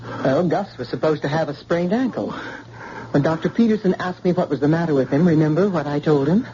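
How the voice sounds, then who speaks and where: A woman's voice: low voice, fairly quick, mellow, natural voice. A woman playing a composed, matter-of-fact medical helper in a radio drama, on an old recording. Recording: muffled old radio recording, faint noise.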